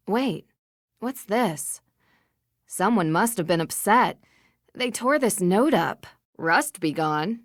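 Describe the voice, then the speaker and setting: A woman's voice: medium pitch, no room sound, studio quality, lightly acted. A young woman voicing a level-headed, polite, earnest female detective in a video game.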